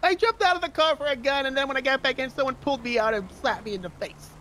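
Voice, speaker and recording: A male gamer talks with his multiplayer group in quick, casual bursts. His pitch is extremely high for a man and he tends to repeat short phrases, with some noise behind him. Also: pushed and bright. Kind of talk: casual gameplay chat.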